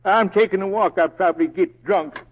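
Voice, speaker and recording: An actor playing a fretful, boozy sheriff in a western radio drama, grumbling and folksy with comic exasperation. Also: male voice, high-pitched, brisk. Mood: grumbling, resigned. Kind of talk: 1940s radio acting. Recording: dull old radio broadcast recording.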